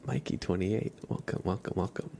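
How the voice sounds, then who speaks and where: Low, creaky, soft-spoken ASMR man. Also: fairly quick, a little hiss or hum. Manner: soft-spoken, often dropping into a whisper. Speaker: livestream host. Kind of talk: ASMR, casual rambling chat with live viewers.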